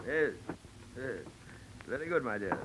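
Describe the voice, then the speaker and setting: An actor playing a smooth, self-satisfied middle-aged villain with genteel menace in a 1940s radio drama. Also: male voice, medium pitch, animated, a little slow, close to an everyday voice. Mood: smugly amused. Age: 50s. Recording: noisy old radio recording.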